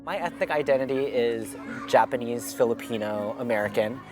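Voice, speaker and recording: A young man with a mid-range voice talks earnestly and thoughtfully, pausing with "um" as he weighs his words. Clear music runs beneath him throughout. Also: medium pitch. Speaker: documentary interviewee. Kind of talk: reflective personal account.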